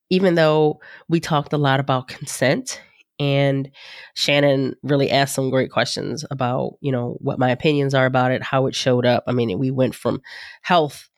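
A woman's voice: very deep voice, steady tone, unhurried, no echo, clean studio sound. Congested, nasal woman podcast host. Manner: chatty, rambling, folksy, addresses listeners as y'all. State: congested, nasal from sinus infection. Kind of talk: casual podcast intro chat.

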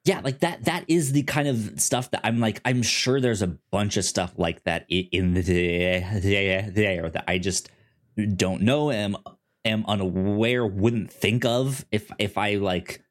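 A man talks casually about film as a podcast co-host. His voice sits fairly low and moves slowly. He often stammers and restarts, repeating words while he searches for the next thought.

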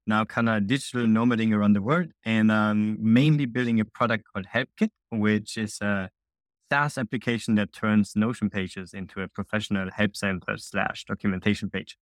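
A young man from Austria, a software founder, answering interview questions in an upbeat, chatty way full of fillers. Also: on the low side, steady tone, moderate pace, no room sound. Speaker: guest, indie founder.

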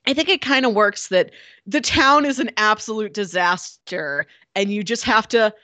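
A female podcast co-host discusses a film in a casual, conversational way. Her voice is medium in pitch and recorded very dry, with speech full of filler likes. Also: moderate pace, sharp tone, dry recording. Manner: conversational, peppered with "like".

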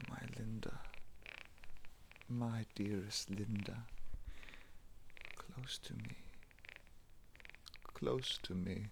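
An actor playing a desperate, devoted husband in a theatrical, pleading 1930s radio horror style. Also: male voice, medium pitch, vocal fry, exaggerated voice. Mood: grieving, hushed. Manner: theatrical, pleading, swinging from murmurs to outcries. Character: desperate, distraught husband devoted to his transformed wife. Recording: noisy vintage 1930s radio recording.

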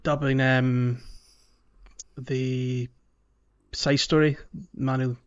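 A man's voice: mid-range voice, level tone, very slow, smooth. Man chatting hesitantly, slightly dull audio. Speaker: podcast co-host. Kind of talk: casual film discussion.

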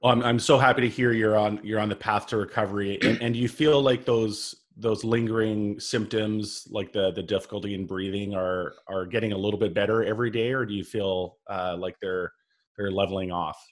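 Male podcast host with a low voice; hesitant, repetitive phrasing. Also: fairly even intonation. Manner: halting, repeats words when asking questions. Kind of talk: interview podcast.

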